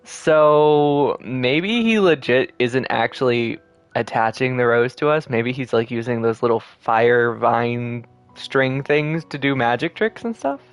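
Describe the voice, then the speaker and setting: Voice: mid-range voice, expressive, slow, clean tone. A game streamer giving casual, chatty gameplay commentary. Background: game music.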